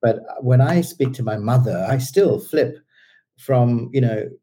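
A man's voice: mid-range voice, unhurried, warm tone. A man, talking as an interview guest in a rambling, hesitant way with many fillers. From Sri Lanka. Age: 50s. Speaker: guest entrepreneur. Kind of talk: casual interview talk.

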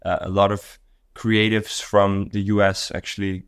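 A man with a low, smooth and resonant voice, a guest who grew up in Tanzania. He talks casually and thoughtfully, hedging with fillers and restarting his sentences. The sound is close and dry. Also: level tone, normal speed, no room sound. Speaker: guest, company co-founder. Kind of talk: casual podcast interview.

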